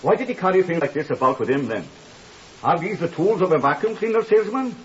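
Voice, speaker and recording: An actor playing an anxious, grieving and polite man with a formal, halting, questioning way of talking in a 1950s radio drama. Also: on the high side, expressive, lightly acted. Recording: muffled, hissy 1950s radio recording.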